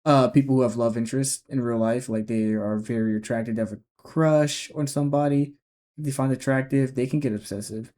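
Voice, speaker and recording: A man's voice: mid-range voice, fairly even intonation, brisk, warm tone. A man in his twenties gives casual reaction commentary, thinking aloud and restarting his sentences. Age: 20s. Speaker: reaction video host.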